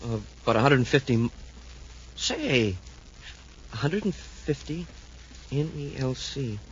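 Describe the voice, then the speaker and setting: An excitable, boyish space cadet. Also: male voice, medium pitch, a little slow. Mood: eager. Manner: boyish, exclamatory, deferential. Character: eager, excitable young male space cadet sidekick. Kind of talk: 1950s radio serial acting. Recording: muffled, hissy 1950s radio recording.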